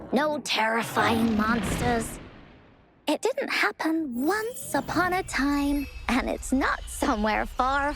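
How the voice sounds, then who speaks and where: Cheerful little girl, high-pitched, very lively intonation, expressive storybook delivery, game character, music behind. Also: vocal fry, exaggerated voice. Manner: childlike, expressive, storybook-style narration. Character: cheerful, imaginative young girl who loves telling adventure stories. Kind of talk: video game voice acting, storytelling.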